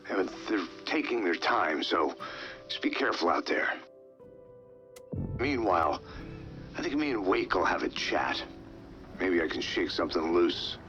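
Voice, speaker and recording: A low-voiced, sardonic federal agent. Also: male voice, sing-song, measured pace, long pauses, fairly bright tone, muffled recording, faint music behind, exaggerated voice. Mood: wry, concerned. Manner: wry, blunt, colloquial. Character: gruff, sardonic male federal investigator, protective partner. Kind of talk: cinematic video game acting.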